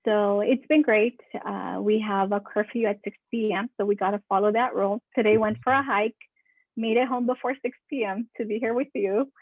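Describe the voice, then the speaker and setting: A woman's voice: medium pitch, fairly even intonation, moderate pace, no echo. A woman from California, a marketing consultant answering podcast questions in a chatty way, repeating words for emphasis. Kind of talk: casual interview answers. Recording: dry, tinny phone-like call audio.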